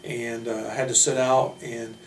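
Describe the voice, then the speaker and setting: A man speaking as a basketball coach, giving informal player introductions in a measured, hesitant way with frequent pauses. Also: mid-range voice, level tone. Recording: roomy, distant mic, faint hum.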